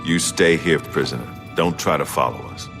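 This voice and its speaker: A man's voice: rumbling low, moderate pace, music in the background. A man playing a tough, loyal imperial guard in a fantasy video game, terse and businesslike. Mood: firm.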